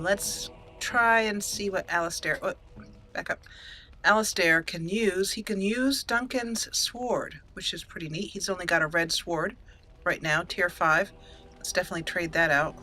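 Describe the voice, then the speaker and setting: Let's play host: woman, forward bright voice, chatty thinking-aloud commentary, light music bed. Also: medium pitch, a little slow. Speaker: host, gamer. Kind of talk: casual let's play commentary with roleplayed dialogue. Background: soft game music.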